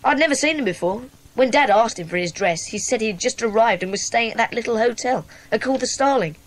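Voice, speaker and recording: Lady playing earnest polite schoolboy, kinda high voice, protesting, kids audiobook drama. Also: lively intonation, quick pace, lightly acted, poor audio. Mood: aggrieved, downcast. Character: earnest, downcast schoolboy club member. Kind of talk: dramatised children's audiobook acting.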